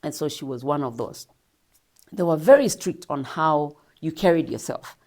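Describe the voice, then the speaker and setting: This is a woman with a Kenyan accent whose voice sits deep and low. She tells her own life story as an interview guest in a reflective, earnest way and breaks into laughs now and then. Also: animated, normal speed, a little mellow.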